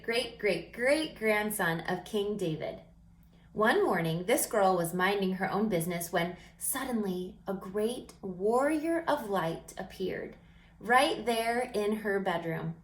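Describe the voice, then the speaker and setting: A woman reading a story aloud to children in an expressive, dramatic way, with emphatic phrases and hushed moments. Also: mid-range voice, measured pace. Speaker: storybook reader.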